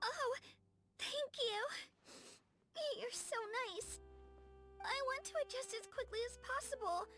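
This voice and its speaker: A woman's voice: very high voice, slow pace, pauses often, fairly bright tone, faint music behind, heavily acted. A woman voicing a shy, anxious young girl in a video game, hesitant, earnest and polite, eager to please. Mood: grateful, gentle. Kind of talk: game character voicelines.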